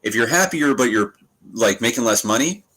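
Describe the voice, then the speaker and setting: A man in his thirties in casual, reflective conversation, thinking aloud in a halting way. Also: mid-range voice, expressive, a bit bright, husky. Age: 30s.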